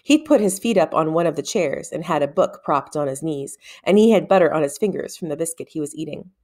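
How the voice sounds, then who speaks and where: A woman narrating a story aloud in an expressive, deliberate storybook style with dramatic phrasing. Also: low-pitched.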